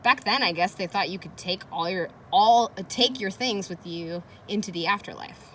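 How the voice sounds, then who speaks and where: A woman's voice: mid-range voice, moderate pace, fairly bright tone. A woman teacher reading a children's chapter book aloud with casual, encouraging asides and questions to her listeners, with background noise. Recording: noisy background, kids playing nearby. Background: ambient noise of children playing.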